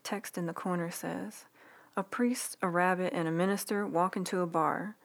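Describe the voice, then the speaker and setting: A woman with a fairly low voice reads her own writing aloud as an ASMR read-along. Her delivery is soft, unhurried and intimate. Breaths and small lip sounds come through in a close recording made in a quiet room. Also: fairly even intonation, creaky. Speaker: writer reading own newsletter aloud.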